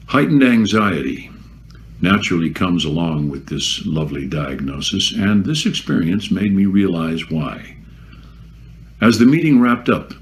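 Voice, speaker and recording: A man reading a first-person memoir aloud in a measured, wry narration. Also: low voice, a little hiss or hum. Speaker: guest reader.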